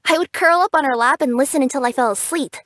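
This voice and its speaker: A woman's voice: high-pitched, fast talker, a bit bright, no echo, studio quality. A woman voicing a cheerful, curious young female cat yokai who works as a package courier in a video game, earnest and bubbly in manner. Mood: fond, dreamy. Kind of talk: English video game character voice acting.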